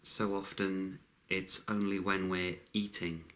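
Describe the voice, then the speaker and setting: A man leading a guided meditation in a calm, unhurried way, with long pauses between short phrases. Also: sits low.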